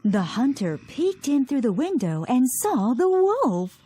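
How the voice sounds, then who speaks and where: A woman's voice: sits high, sing-song, unhurried, slightly rough. A woman narrating a scripted fairy tale for children as a storyteller. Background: loud music.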